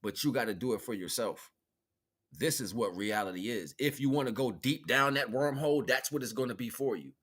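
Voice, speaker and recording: A man's voice: medium pitch, a little fast, fairly bright tone. An American man giving a rambling, emphatic, freeform spiritual monologue in casual street slang, swearing freely. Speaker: livestream host, self-styled spiritual teacher.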